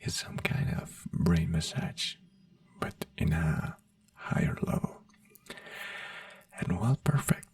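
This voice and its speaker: Slow whispering ASMR performer, non-native English speaker. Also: low-pitched, huge pitch swings, distant mic. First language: not English. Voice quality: breathy whisper. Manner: gentle, reassuring personal attention. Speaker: ASMR performer playing a brain doctor. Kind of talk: soft-spoken whispered ASMR roleplay.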